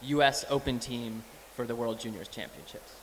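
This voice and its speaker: A man's voice: mid-range voice, normal speed. A man from Boston who coaches a youth national team, speaking thoughtfully with hesitant fillers. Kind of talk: panel discussion. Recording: reverberant hall with faint noise.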